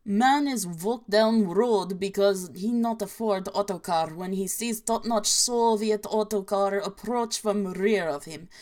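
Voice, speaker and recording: A woman reading parody horror stories in an inaccurate mock Russian accent, dropping articles in clipped broken grammar as a deadpan narrator. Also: medium pitch, unhurried, hardly any pauses, close to an everyday voice.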